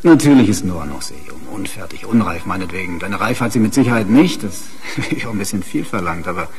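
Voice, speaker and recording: A man's voice: lowish voice, lively intonation, fast, faint music behind, lightly acted. An actor playing a pompous, needling middle-aged husband and father in a radio drama, condescending and self-righteous. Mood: needling, smug. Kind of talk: naturalistic radio drama acting.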